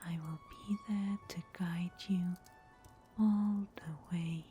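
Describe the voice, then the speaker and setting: A woman playing a mysterious, protective fantasy guide in a whispered ASMR roleplay, breathy, measured and solemn. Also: on the low side, steady tone, warm tone, faint music behind. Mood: calm, instructive.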